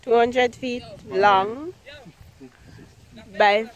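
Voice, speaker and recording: Young Jamaican woman, high and bouncy, easygoing teasing vlogger, taking her time. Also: steady tone, very slow, dull audio. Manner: playful teasing, lots of laughing. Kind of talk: casual vlog chat. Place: outdoors on a grassy rural farm.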